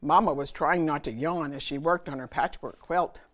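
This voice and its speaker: A woman hosting a children's story time, reading aloud and pausing to chat with and question her young listeners. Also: low voice. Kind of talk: animated read-aloud of a picture book for children.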